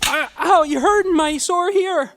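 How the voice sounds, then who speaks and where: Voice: extremely high pitch, measured pace, a bit bright, dry recording. An actor playing an earnest, plucky twelve-year-old boy with an eager, boyish manner in a radio play. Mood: anxious, pleading. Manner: boyish, eager, stammers when anxious. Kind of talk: live radio play acting.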